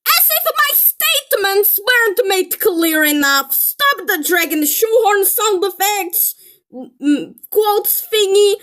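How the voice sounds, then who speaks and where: A woman's voice: very high voice, animated, slow pace, a bit bright. A woman giving opinionated commentary in an exasperated, emphatic manner. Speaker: video creator. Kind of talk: commentary reacting to a film critique.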